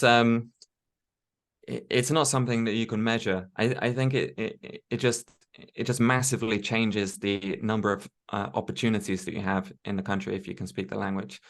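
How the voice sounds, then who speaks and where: A man speaking as a guest in a casual interview, measured and reflective, with frequent fillers and restarts. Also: on the low side, a bit bright. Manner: hesitant, many fillers and restarts. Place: home in Tokyo.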